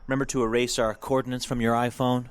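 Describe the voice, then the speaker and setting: A man's voice: mid-range voice, little pitch movement, quick pace, smooth, natural voice. Man playing a snide, protective sidekick producer. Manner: dry, disapproving. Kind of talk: audio drama acting.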